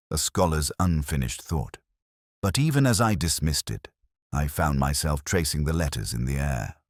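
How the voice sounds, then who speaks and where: A man narrating a scripted first-person detective story in a measured, brooding, literary manner. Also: very deep voice, dry recording, clean studio sound.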